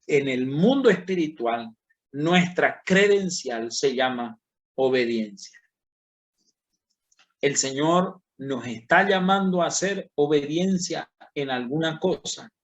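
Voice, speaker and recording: Slow, emphatic preaching man. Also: a little high. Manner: slow and emphatic, rising on key words, addressing listeners directly. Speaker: pastor teaching a Bible class. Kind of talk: sermon-style Bible lesson.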